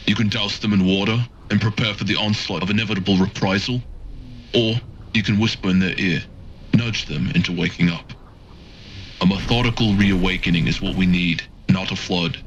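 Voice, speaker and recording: Game role: grave, guarded rebel strategist played by a man, low pitch, deliberate speechmaking, faint music underneath. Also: steady tone, dull audio. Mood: grave, persuasive. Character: calculating, measured male rebel mentor, guarded and grave. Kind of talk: cinematic video game dialogue.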